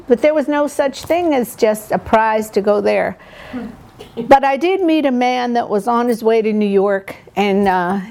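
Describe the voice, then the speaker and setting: An older woman, a poet whose first language is Polish, telling her life story in a thoughtful, halting way with pauses. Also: medium pitch, a little mellow. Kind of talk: reflective personal storytelling.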